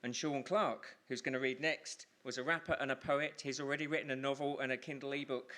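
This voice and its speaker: A man's voice: medium pitch, steady tone, fairly quick, pushed and bright. A man compering a live storytelling event, introducing acts with emphatic, repeated phrases.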